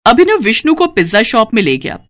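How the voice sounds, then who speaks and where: A woman's voice: fairly high pitch, huge pitch swings, brisk, fairly bright tone. A woman narrating a scripted moral story for children as a storyteller.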